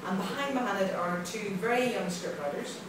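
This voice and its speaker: A woman's voice: mid-range voice, moderate pace. A woman explaining a radio drama project in an interview, enthusiastic and explanatory, recorded on a phone camera in an office. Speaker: country director. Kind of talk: interview answers. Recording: echoey room recorded from far off, noisy.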